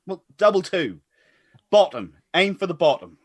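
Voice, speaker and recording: A man's voice: sits high, very animated, moderate pace. A man playing a good-natured, bantering pub regular in a radio comedy. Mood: easygoing. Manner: bantering, chuckly. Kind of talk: radio comedy play reading.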